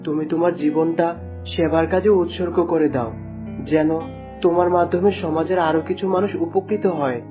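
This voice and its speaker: A woman voicing the gentle, loving spirit of a dead young man in a melodramatic cartoon, tender and earnest. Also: deep voice, steady tone, normal speed, natural voice. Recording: plucked guitar music under the voice.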